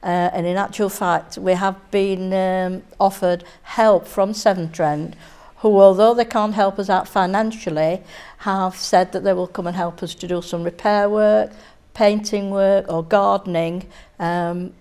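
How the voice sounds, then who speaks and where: An older woman from England, a cub scout leader giving an earnest interview in a scout hall, halting with many fillers. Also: mid-range voice, fairly even intonation, mellow.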